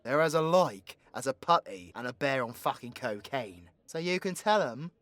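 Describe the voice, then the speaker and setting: A man's voice: mid-range voice, animated, normal speed, fairly bright tone, no room sound, close to an everyday voice. A man with a British accent voicing a crude, cocky, foul-mouthed supervillain in an audio roleplay, brash and mocking with sweary slang. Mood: resolute, gruff.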